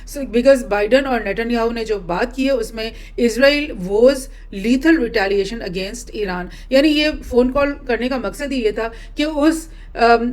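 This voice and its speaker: A woman gives informal news commentary as a host, explaining and rephrasing her points in a halting way. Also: on the high side, a little mellow. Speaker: host presenting news analysis.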